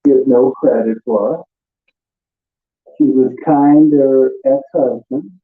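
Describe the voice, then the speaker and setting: A man with a mid-pitched voice argues back defensively. He halts and repeats himself in an informal way. The sound is thin and narrow, like a phone. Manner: halting, repetitive, defensive. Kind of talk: informal argument. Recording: tinny phone-like replayed clip.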